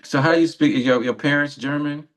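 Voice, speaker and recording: A man's voice: a little high, quick pace, a bit bright, poor audio. A man co-hosting an interview in a casual, joking way. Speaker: interviewer, co-host.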